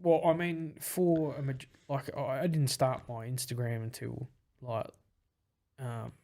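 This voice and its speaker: A young man with a husky voice and a gravelly fry on the ends of his words. He talks as a podcast guest in a relaxed, rambling way. His pitch sits in a plain middle range. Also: measured pace. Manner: rambling, with many fillers and restarts. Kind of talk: casual chat.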